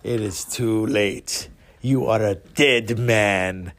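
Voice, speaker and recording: A man voicing a girl who poses as a menacing angel of death, speaking slowly and commandingly in a told story. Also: fairly low pitch, steady tone, fairly bright tone, voice pushed down. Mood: grim, final. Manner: slow, drawn-out, commanding threats. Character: fake angel of death, a vengeful girl in ghostly disguise, menacing.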